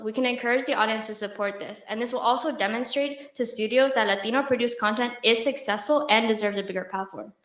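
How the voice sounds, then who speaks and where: An earnest young woman delivers a rehearsed speech at a brisk clip. Her voice sits a little high and rings in a roomy hall. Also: fairly bright tone. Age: young adult. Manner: earnest, rehearsed, occasional restarts. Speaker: student presenter. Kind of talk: prepared speech to an audience.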